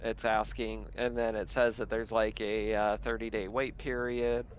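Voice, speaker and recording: A man's voice: on the low side, little pitch movement, a little slow. A man acting as game master of a tabletop game, narrating in a casual, chatty way. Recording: dull lo-fi audio, faint noise.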